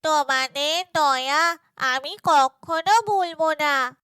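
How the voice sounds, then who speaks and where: Woman voicing a very high, slow magical little deer. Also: sharp tone, no echo, clean studio sound, heavily acted. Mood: grateful, solemn. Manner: slow, solemn speech. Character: gentle magical little silver deer, forest guardian.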